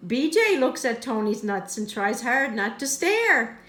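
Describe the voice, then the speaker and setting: High-voiced lady reading a kids' book, keeps cracking up, echoey room, slow and swoopy. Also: a bit bright. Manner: constant giggling, cracks up between lines; teasing asides to the pictures. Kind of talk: reading a picture book aloud with giggling asides. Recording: roomy, echoey sound.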